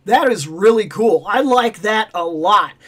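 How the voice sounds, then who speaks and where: Raspy high-voiced man chatting on a livestream. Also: a little slow. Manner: rambling, animated, self-interrupting. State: raspy, losing his voice. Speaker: toy channel livestream host. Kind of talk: casual livestream chat.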